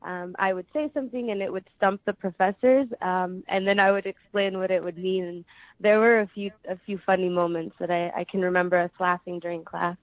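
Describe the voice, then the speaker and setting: A woman in her twenties answering interview questions casually, hesitant, with many pauses and fillers. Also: lowish voice, steady tone, dry recording. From United States. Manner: hesitant, many ums, soft laughs. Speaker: guest. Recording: dry tinny phone-like line.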